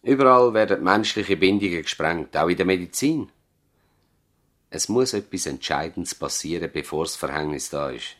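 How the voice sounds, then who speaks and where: An actor with a Swiss German accent playing a dignified, idealistic family doctor in a 1970s radio play, solemn and deliberate. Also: male voice, low-pitched, animated, a bit bright. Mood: solemn, fervent.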